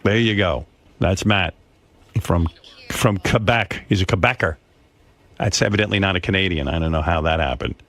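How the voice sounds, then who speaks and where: A man's voice: low voice, normal speed, long pauses, fairly bright tone. A man hosting a radio talk show, ranting emphatically with plenty of profanity. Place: radio studio. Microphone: studio microphone.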